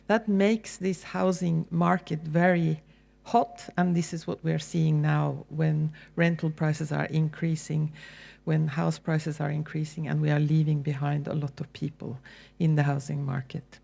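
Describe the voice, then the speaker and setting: A woman with a Norwegian accent giving a relaxed, conversational public lecture as a university lecturer, with frequent ums and restarts. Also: low-pitched, unhurried, vocal fry. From Norway.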